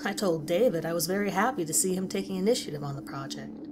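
A woman's voice: fairly low pitch, fast talker, lightly acted. A woman narrating a scripted horror story as a concerned, unsettled teacher. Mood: uneasy, reflective. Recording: clearly audible background ambience noise.

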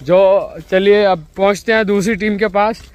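High-voiced young man vlogging outdoors. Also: fairly even intonation, moderate pace, clean tone, no room sound. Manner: upbeat, chatty, addresses viewers directly. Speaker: vlogger interviewing scout trainees. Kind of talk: walking vlog narration and interviews. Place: outdoors in rural fields and woods. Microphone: phone camera held at arm's length.

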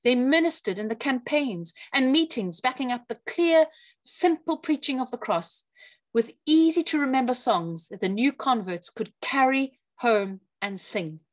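A woman reading a written religious history aloud with emphatic, deliberate phrasing. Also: high voice, lively intonation. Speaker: reader of a written church history talk.

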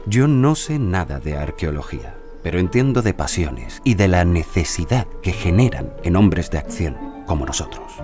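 An actor playing a whimsical, exuberant, sickly lord in an audio drama, with a courtly, droll manner. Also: male voice, low-pitched, big pitch swings, normal speed, few pauses, over music. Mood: courteous, frank. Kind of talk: Spanish-language audio drama acting.

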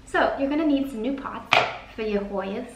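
A young woman voicing a bossy, fast-talking East Coast woman with a mock Rhode Island or Queens accent, loud and scolding. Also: fairly high pitch, very noisy, close to an everyday voice. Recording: echoey living room.

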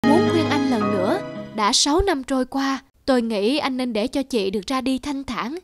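Woman acting a high-voiced, grave cartoon doctor. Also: expressive, normal speed, faint music behind. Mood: gently persuasive. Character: grave, compassionate grey-haired senior doctor, male. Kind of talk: animated moral-story dialogue.

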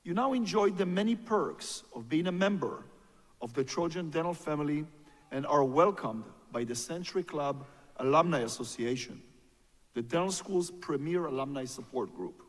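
A middle-aged man giving a measured, formal scripted speech as host of a ceremony, at a podium microphone. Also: on the high side, lively intonation. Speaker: ceremony host introducing speakers. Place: large auditorium stage at a podium. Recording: echoey auditorium with long reverb.

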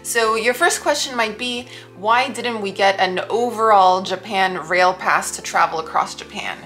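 A woman's voice: mid-range voice, measured pace, a bit bright, soft background music. A young woman sitting in a hotel room, chatting to the camera as she explains how they travel. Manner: chatty, informative, friendly. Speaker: travel vlogger. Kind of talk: explanatory vlog talk.

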